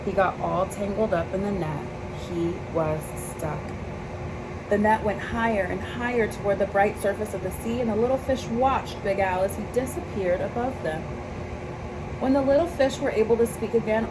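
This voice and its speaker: Female storybook reader for children, mid-range voice with noticeable vocal fry, recorded over clear steady background noise. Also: mid-range voice. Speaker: children's picture book reader. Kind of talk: read-aloud storybook narration.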